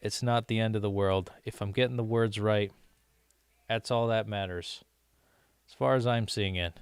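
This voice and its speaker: A man's voice: lowish voice, fairly even intonation, moderate pace, clean tone, no echo, studio quality. A young American man rambling casually to his viewers, with many restarts and fillers. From United States. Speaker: language-learning vlogger.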